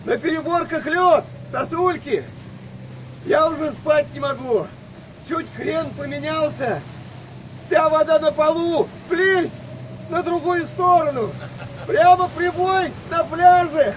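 An actor playing a hesitant, doubting wartime sailor in a radio play, with halting colloquial speech. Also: male voice, very high-pitched, slight background noise, poor audio. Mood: chatty, cold.

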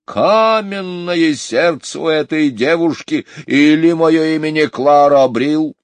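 A man's voice: a little high, slow pace, dry recording, pitched above the performer's own voice. A man voicing a gossipy old housekeeper who sing-songs and puffs as she talks. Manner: sing-song, puffing, gossipy. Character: chattering, sing-song old housekeeper woman.

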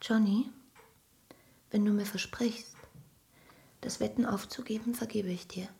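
An actress playing a gentle but doubting wife in a radio drama, hesitant and questioning. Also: female voice, mid-range voice, fairly even intonation, measured pace, gravelly. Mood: tender, firm.

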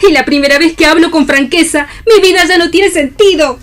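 A woman's voice: extremely high pitch, brisk, fairly bright tone, slight background noise. A woman playing a scorned, melodramatic young woman in a theatrical radio play. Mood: bitter, wounded.

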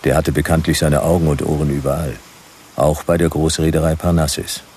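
An actor playing a laconic private detective in a radio play, dry, terse and sardonic, with noir-style narration. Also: male voice, very deep voice, level tone, brisk, darkish tone. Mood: laconic narrating. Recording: radio play with background noise.

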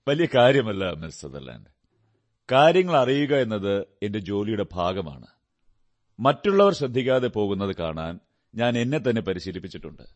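A man playing a shrewd, self-assured detective in a radio drama, speaking in a deliberate, courteous, analytical way. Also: on the low side, dull audio. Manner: deliberate, deductive, theatrical delivery. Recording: radio drama with music behind. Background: music.

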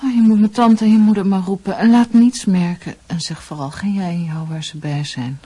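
An actress playing a fragile, anxious young niece in a radio drama, careful and breathy in her speech. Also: mid-range voice, steady tone, brisk, dark tone, natural voice. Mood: shaken.

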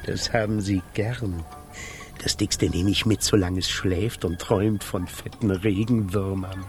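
Slow, gloating chicken thief. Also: male voice, sits low, fairly even intonation, soft background music. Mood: sly, boastful. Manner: savouring, theatrical, self-satisfied. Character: gloating, greedy male chicken thief and tramp. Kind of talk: children's radio play acting.

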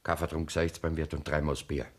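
Very deep, gruff Bavarian outlaw. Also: male voice, flat delivery, a little fast. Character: hunted, defiant male outlaw on the run. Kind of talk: 1970s German radio play acting. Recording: noisy radio play recording.

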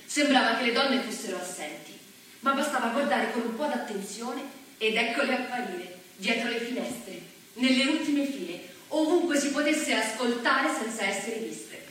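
Measured woman narrating on stage. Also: a little high, bright, forceful tone, a little hiss or hum. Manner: measured, storytelling. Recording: echoey stage recording from far off.

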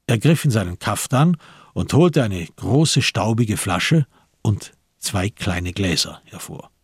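A man narrating a radio crime drama in a measured, literary, sober way, with a husky voice. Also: on the low side, animated, no echo, studio quality, full character voice. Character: sober storyteller of a crime tale.

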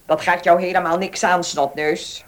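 An actress in a Dutch radio play playing a haughty, sharp-tongued older lady of the manor. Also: lowish voice, fairly even intonation, moderate pace, a little hiss or hum, natural voice. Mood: indignant, snappish. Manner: bossy, snappish.

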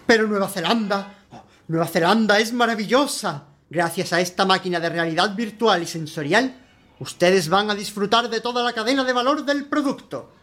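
A man's voice: high-pitched, moderate pace, a bit bright. A man performs an unctuous supermarket salesman in a radio drama. He has an accent from Spain and a theatrical, over-polite sales patter, always gushing and eager to impress the customer. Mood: rhapsodic. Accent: Spanish from Spain. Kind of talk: radio play acting.

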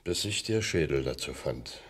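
Deep-voiced, folksy gardener. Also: male voice, expressive, husky, heavily acted. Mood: hesitant recounting. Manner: halting, folksy, colloquial storytelling. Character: plain-spoken gardener of an English manor. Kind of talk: German radio crime play acting.

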